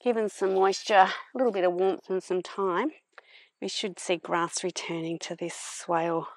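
A middle-aged woman narrating a farm vlog in a plain-spoken, unhurried explaining manner. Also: on the low side, fairly even intonation, no room sound. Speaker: vlogger, permaculture farmer.

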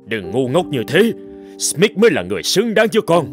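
A man's voice: fairly high pitch, huge pitch swings, fairly quick. A man voicing a stern, harsh, commanding middle-aged father in a cartoon drama. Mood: angry, commanding. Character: stern, domineering wealthy father, bald and bearded. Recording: music behind the voice.

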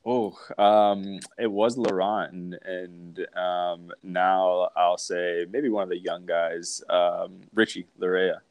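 A man in his thirties talks casually over a video call from home, relaxed and unhurried, full of ums. His voice sits very low. The sound is dry and close. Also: dry recording. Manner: relaxed, hesitant with many ums. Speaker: pro soccer player guest. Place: home room.

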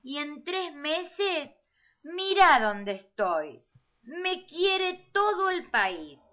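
Woman playing a vain, slow-talking showgirl diva. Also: sits high, sing-song, full character voice. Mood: boastful. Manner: theatrical, condescending. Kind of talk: parody radio play. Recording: dull lo-fi audio.

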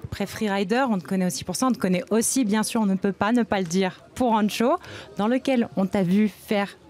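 A woman's voice: mid-range voice, a little fast, darkish tone. A young woman presenting and putting questions to guests at an outdoor event, using a handheld microphone. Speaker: interviewer, presenter. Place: outdoors at a snowy ski resort event. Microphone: handheld reporter microphone.

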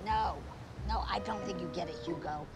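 A woman acting as a demanding, restless young woman. Her delivery is naturalistic, and guitar music plays clearly behind her voice. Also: on the low side, normal speed, natural voice.

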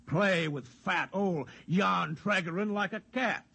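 An actor in a 1950s radio drama playing a domineering, mocking old schemer with a raspy voice. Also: male voice, high voice, slow, sharp tone, heavily acted. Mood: smug, gloating. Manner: mocking, commanding. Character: domineering, sneering fat old male boss and schemer.

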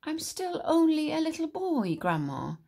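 A woman's voice: sits high, huge pitch swings, unhurried, vocal fry, a little mellow, pitched above the performer's own voice, full character voice. A woman voicing an eight-year-old boy who pleads and whines at his grandmother. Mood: pleading. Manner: whining, pleading.

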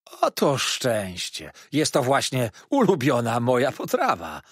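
Storybook role: sly jovial servant, acted by a man, talkative and dramatic, very animated delivery with a rough edge. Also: medium pitch, a little slow, no room sound, studio quality, exaggerated voice. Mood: gleeful. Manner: chatty, exclaiming, theatrically dramatic. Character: sly, jovial manservant with a hearty appetite. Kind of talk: dramatised audiobook reading.

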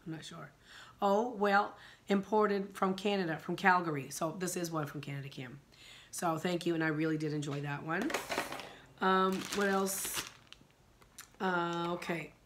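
A middle-aged woman, hoarse from a sinus infection, chatting casually about candles in her living room, rambling with many ums and restarts. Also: fairly low pitch, fairly even intonation, a little slow, slight background noise. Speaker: vlogger. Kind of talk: casual chat reviewing candles.

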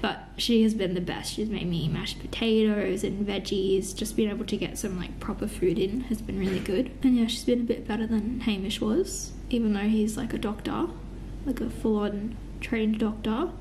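A woman's voice: medium pitch, normal speed, hardly any pauses, mellow, a little hiss or hum, low-quality recording. A young woman in her twenties with a stuffy, congested nose, chatting frankly to her phone camera at home, filling her talk with "like". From Australia. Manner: chatty, frank, lots of "like" fillers. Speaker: vlogger. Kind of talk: casual vlog chat to camera. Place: home living room and kitchen, indoors. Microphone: phone camera at arm's length.

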